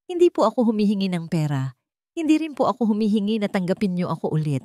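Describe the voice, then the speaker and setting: A woman's voice: mid-range voice, sing-song, fast, warm tone, dry recording, studio quality, voice pushed up, lightly acted. Woman voicing a humble, remorseful grown son. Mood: pleading, hopeful. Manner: pleading, humble, respectful. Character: repentant grown son reading out his apologetic letter.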